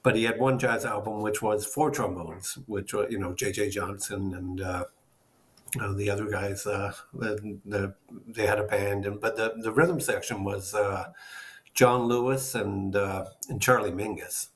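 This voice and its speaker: A man's voice: on the low side, fairly even intonation, a little slow. A man in his fifties telling stories casually, often searching for words, on a video call from home. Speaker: guest jazz bassist. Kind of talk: casual storytelling in a panel interview. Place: small room at home, indoors. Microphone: laptop or webcam video call.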